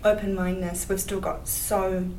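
A woman's voice: medium pitch, little pitch movement, normal speed, darkish tone. A young woman from New Zealand answering panel questions earnestly, with fillers and restarts. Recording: roomy hall, mic at a distance, faint noise.

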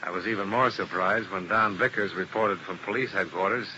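A man's voice: low-pitched, brisk. A man narrating and playing the lead detective in a 1950s radio drama, terse and hard-boiled. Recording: faintly noisy old radio recording.

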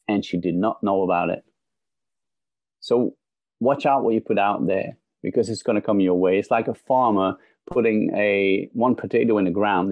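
A middle-aged man from Belgium, a guest on a podcast interview, telling a story in an animated way and often repeating words as he starts a sentence. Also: fairly low pitch, creaky, dry recording. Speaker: guest, motivational speaker. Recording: dry, dull lo-fi sound.

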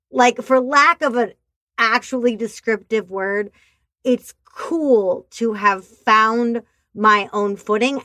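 Nasal-voiced woman chatting on a podcast, really expressive, bit high, dry sound. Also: no room sound. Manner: expressive, animated storytelling. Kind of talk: casual chat. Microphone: remote call.

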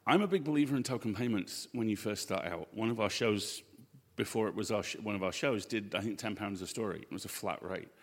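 A man speaking as a panel guest in a live discussion, making his points in a measured, emphatic way. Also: on the low side. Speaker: panel guest and podcast co-host. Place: hall at a live convention panel. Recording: echoey hall with long reverb.

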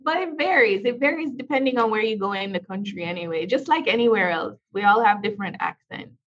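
A woman's voice: medium pitch, animated, quick pace, fairly bright tone. A Jamaican woman with an uptown Jamaican accent that leans American Southern, talking casually as a podcast guest, laughing easily and repeating phrases for emphasis. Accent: uptown Jamaican, with an American Southern tinge. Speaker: podcast guest, business coach. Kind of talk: casual conversation. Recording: dull lo-fi sound.

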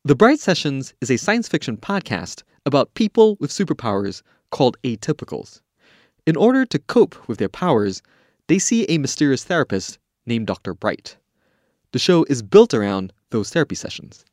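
Podcast host: man, mid-pitched and animated in intonation, scripted storytelling, very dry recording. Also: moderate pace, no room sound. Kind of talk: scripted documentary narration.

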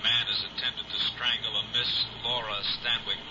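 An actor playing an official police dispatcher over a car radio in a 1950s radio drama, formal and measured. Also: male voice, medium pitch, little pitch movement. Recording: muffled, noisy old radio recording.

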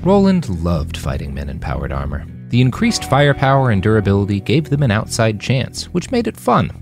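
A man's voice: low-pitched, a little mellow. A man reading his action fiction aloud in an audiobook style. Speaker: author reading his own fiction on a podcast.

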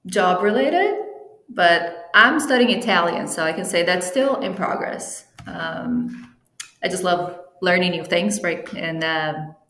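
A woman's voice: on the low side, expressive, slow, fairly bright tone, reverberant. A woman whose first language is Portuguese, a guest talking casually in an interview, with lots of fillers and restarts. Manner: chatty, with frequent fillers and restarts. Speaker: interview guest, team travel planner.

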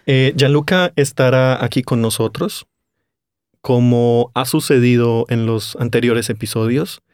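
A man presents a language podcast. He explains things clearly at a middle pitch, and the sound is close and dry. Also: unhurried, darkish tone, no echo. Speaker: podcast co-host, language teacher. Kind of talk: casual podcast chat.

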